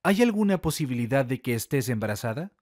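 A man reading Spanish translations of phrases for an English lesson, one short line at a time in a plain, brisk way. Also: medium pitch, sing-song, a little mellow, no echo, clean studio sound.

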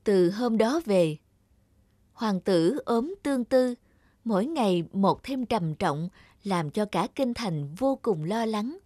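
A woman narrator tells a fairy tale in a scripted storytelling style. Her voice sits at an average pitch for a woman, with clear music playing underneath. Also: expressive, slow pace, dark tone.

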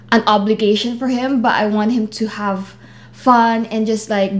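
A young woman from the Philippines, a native Tagalog speaker, co-hosting a casual vlog and talking in an easygoing way with lots of "like" fillers. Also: mid-range voice. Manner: chatty, many "like" fillers, animated hand gestures. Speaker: vlog co-host. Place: small living room indoors.

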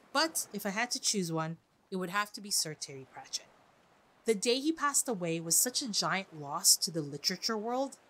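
A woman hosting a podcast in a chatty, expressive monologue, speaking directly to her listeners. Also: mid-range voice, slight background noise, rough recording. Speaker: podcast host. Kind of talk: podcast monologue on classic books.

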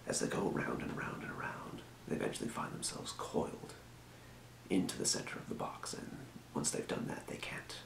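Deep whispering fantasy potion shopkeeper played by a man. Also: big pitch swings, creaky, reverberant, background noise, exaggerated voice. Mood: calm explaining. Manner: murmuring, unhurried, muttering to himself. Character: helpful old-world fantasy potion shopkeeper, quietly businesslike. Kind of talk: ASMR role play. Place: small room, indoors at a desk.

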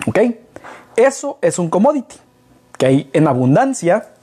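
Mexican man explaining, dark husky voice. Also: sits high, very animated, measured pace. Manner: explaining with hand gestures, rhetorical questions. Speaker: business consultant. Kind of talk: casual business talk to camera. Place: living room, seated in an armchair. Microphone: far camera.

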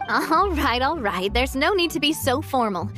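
Game heroine: high-pitched young female boss, warm, determined, wistful but bright, over music. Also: animated, moderate pace. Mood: lighthearted. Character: young woman leader, warm, resolute, wistful yet upbeat. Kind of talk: video game character acting.

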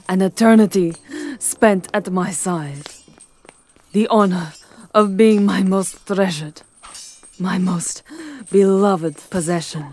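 A woman voicing a seductive, possessive, aristocratic vampire countess in a theatrical, deliberate ASMR roleplay, with breathy whispers. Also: medium pitch, darkish tone, slight background noise, close to an everyday voice. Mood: proud, indignant.

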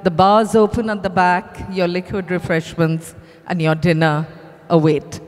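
A man's voice: high-pitched, flat delivery, unhurried. A man making closing announcements as host of a live event. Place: large hotel ballroom. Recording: echoey hotel ballroom PA, long reverb.

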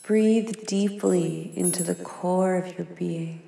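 A woman leading a guided meditation in a soft, soothing, unhurried manner with long pauses between phrases. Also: fairly low pitch, steady tone, creaky. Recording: long echoing reverb. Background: singing bowls and ambient music.

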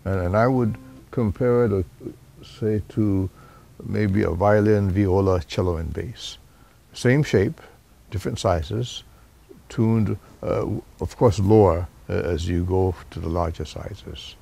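Older man from Honolulu, deep and gravelly, measured interview explainer. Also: warm tone. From Honolulu, Hawaii. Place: indoors, seated on a couch.

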